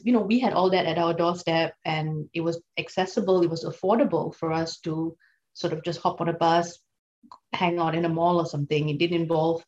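A woman's voice: on the low side, a little mellow. A woman, a law lecturer answering interview questions thoughtfully, with frequent fillers and restarts. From Singapore. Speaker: law lecturer, podcast guest. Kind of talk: conversational interview. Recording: dull lo-fi sound.

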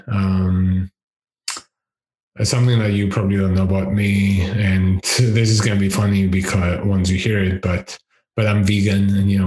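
A man with a deep voice, a guest in a casual interview, talking on a headset mic, hesitant with many fillers and frequent laughs. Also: darkish tone, echoey room, poor audio. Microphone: headset microphone.

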